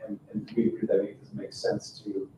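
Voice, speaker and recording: A man's voice: fairly low pitch, monotone, brisk, dark tone. Man presenting in an echoey meeting room. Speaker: consultant presenting to a board. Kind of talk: informal presentation at a public meeting. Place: indoor town board meeting room. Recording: echoey room, distant mic, faint noise.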